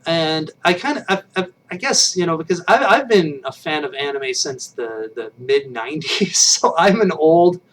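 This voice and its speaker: A man's voice: fairly high pitch, animated, moderate pace, hardly any pauses, fairly bright tone, recorded from a distance, poor audio. A man in his late thirties casually chatting on a live stream, rambling and self-deprecating with frequent restarts, recorded on a webcam. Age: 30s. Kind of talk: casual chat with viewers.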